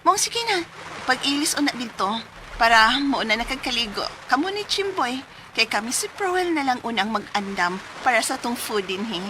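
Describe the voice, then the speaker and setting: A woman acting a bossy, brisk young friend in a radio drama, with a Cebuano Filipino accent. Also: a little high, very animated, sharp tone, slight background noise, rough recording. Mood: urging. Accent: Filipino, Cebuano-speaking.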